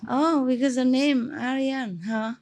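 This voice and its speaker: A woman's voice: sits high, fairly even intonation, unhurried, noisy background. A woman teacher hosting a gathering, speaking in a scolding, repetitive way and later teasing the guests. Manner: scolding then teasing, repeats words for emphasis. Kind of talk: informal Q&A with an audience.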